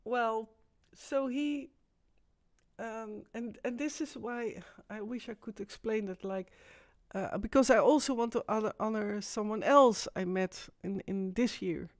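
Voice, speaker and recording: A Dutch woman hosting a live stream, talking in a rambling, halting way with frequent restarts. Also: on the high side, no room sound, studio quality. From Netherlands. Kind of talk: casual live stream monologue.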